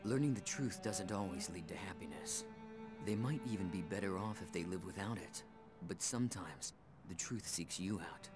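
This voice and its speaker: An actor playing a gentle, pensive young man in a game cutscene, calm, reflective and earnest. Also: deep voice, normal speed, over loud music, natural voice. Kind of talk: dramatic video game cutscene acting.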